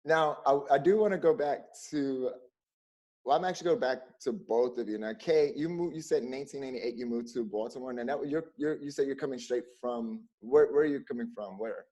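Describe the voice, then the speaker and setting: A man from Virginia hosting a casual video-call conversation, easygoing and quick to laugh. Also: medium pitch, dark tone. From Virginia, United States. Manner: easygoing, laughs often between questions. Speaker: host.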